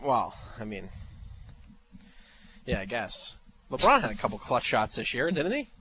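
Jersey-accented male radio host, bright tone, quick to tease. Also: mid-range voice, big pitch swings, a little hiss or hum. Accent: New Jersey. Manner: joking, teasing. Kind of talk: casual sports radio banter.

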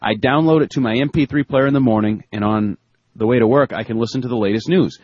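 A man's voice: medium pitch, fast talker, a little mellow, no room sound, dull audio. A man co-hosting a sports podcast in casual chat, teasing his partner and reading a listener email. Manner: joking, teasing banter.

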